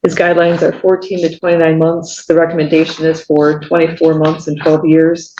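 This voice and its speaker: A man's voice: on the high side, monotone, brisk, a little mellow. A man speaking as a prosecutor, giving a formal, matter-of-fact summary in court. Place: echoey indoor courtroom. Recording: muffled, echoey, noisy room.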